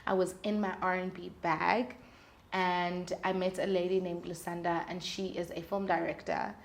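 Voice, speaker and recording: A woman's voice: on the low side, flat delivery, moderate pace, creaky. A young woman speaking candidly and expressively in casual, slangy conversation as an interview guest. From South Africa. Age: young adult. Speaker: guest, singer-songwriter.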